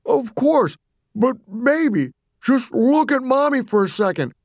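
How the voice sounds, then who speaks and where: A narrator voicing a pained, transformed mother with a strange voice and a halting, pleading, tearful manner in an audiobook story. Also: sits high, big pitch swings, no echo, clean studio sound, voice pushed up, heavily acted.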